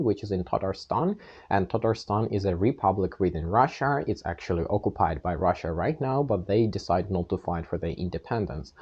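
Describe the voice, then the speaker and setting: A young man with a Ukrainian accent tells his own story in an earnest, plain-spoken way. His voice is low, mellow and slightly husky. The recording sounds dull and lo-fi. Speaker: channel host. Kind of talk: personal storytelling monologue.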